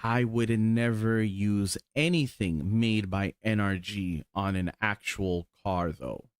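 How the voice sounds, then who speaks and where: Man, 40s, casual rambling streamer, excitable, salty language, dry close sound. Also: on the low side, slow, clear, resonant tone, no echo. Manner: rambling, excited, swears casually, lots of ums. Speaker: sim racing streamer. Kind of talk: casual chat while showing cars.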